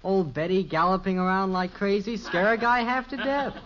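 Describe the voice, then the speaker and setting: A man's voice: high-pitched, moderate pace, a crowd in the background, natural voice. A male actor playing a casual, wisecracking teenage son in a 1950s radio sitcom, slangy and offhand. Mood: offhand, puzzled. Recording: dull, noisy 1950s radio recording.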